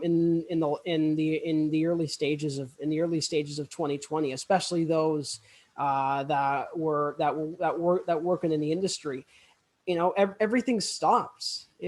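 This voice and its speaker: A young man with a fairly high voice, chatting as a podcast co-host. He is halting, circling back and repeating phrases several times before finishing them. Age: young adult. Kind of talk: casual podcast interview chat.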